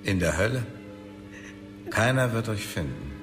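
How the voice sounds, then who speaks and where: A cold, sadistic professor in a radio crime drama. His low, gravelly voice speaks with sardonic, deliberate menace. It rings in a long reverb. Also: sing-song. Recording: long reverb, music underneath.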